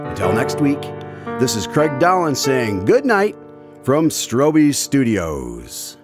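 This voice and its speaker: A man's voice: medium pitch, wildly swooping pitch, slow. A man hosting a radio drama as its announcer, speaking in a scripted style. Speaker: announcer and host. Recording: loud music behind the voice.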